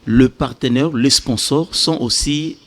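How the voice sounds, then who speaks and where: A man's voice: medium pitch, a little slow, fairly bright tone, a little hiss or hum. A man with a Congolese French accent explaining as a guest organiser in a television interview. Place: television studio set.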